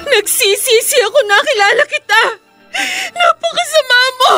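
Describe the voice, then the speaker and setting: A woman's voice: extremely high pitch, expressive, moderate pace, loud music behind the voice. A woman playing an embittered, anguished figure in melodramatic radio drama, wailing and accusing. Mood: anguished fury.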